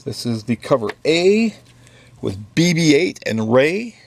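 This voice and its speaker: A man's voice: fairly high pitch, big pitch swings, slow, gravelly, husky, dry recording. A man running a comic shop, talking casually through the week's new comics with offhand joking asides. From United States. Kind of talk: casual product run-through. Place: comic book store.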